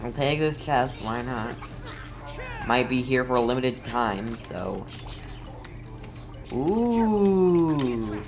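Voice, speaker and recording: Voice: medium pitch, lively intonation, drawn-out words, long pauses. A streamer giving casual gameplay commentary, playful and mock-scolding, often talking straight to the game. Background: game music.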